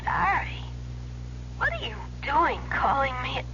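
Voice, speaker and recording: A woman's voice: sits high, wildly swooping pitch, a little slow, pushed and bright, full character voice. An actress playing a sleepy, teasing young woman on the phone in a radio drama, wry and playful. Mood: sleepy, irritated. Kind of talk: 1970s radio drama acting. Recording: noisy, dull radio recording, telephone sound.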